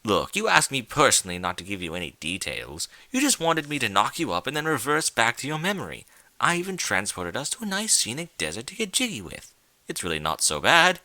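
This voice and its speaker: A man's voice: medium pitch, wildly swooping pitch, brisk, sharp tone, no room sound, heavily acted. A man voicing an impatient, glib male goat-headed creature of chaos in a fan fiction story reading. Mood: coaxing, impatient. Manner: theatrical, coaxing, exasperated.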